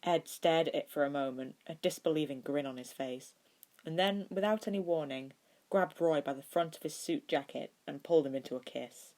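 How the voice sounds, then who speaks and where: A woman's voice: low-pitched, moderate pace, darkish tone. A woman narrating fan fiction as a podfic reader.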